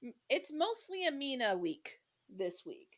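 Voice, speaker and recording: Animated, higher-pitched woman podcast host. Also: a little slow. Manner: giggly, animated, swears freely. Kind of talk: casual humorous chat.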